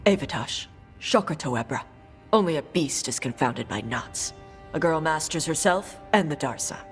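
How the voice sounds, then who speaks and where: Stern warrior mom for a game, deep voice, formal reciting, faint music. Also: female voice, expressive, normal speed. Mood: stern, instructive. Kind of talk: video game voice acting.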